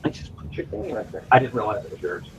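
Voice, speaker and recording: A man rambles through an easygoing home vlog, stopping to restart sentences and fill pauses. A noticeable layer of background noise sits under his voice. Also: lowish voice, sing-song. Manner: rambling, with many restarts and fillers. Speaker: vlogger. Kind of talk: casual home chat. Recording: noisy home recording.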